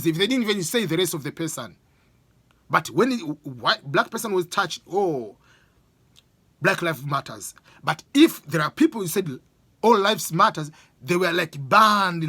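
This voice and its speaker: A man's voice: high voice, bright, forceful tone, slightly rough, no echo. A man with an East African accent speaking English as a Swahili speaker, giving an informal opinion monologue and repeating phrases for emphasis.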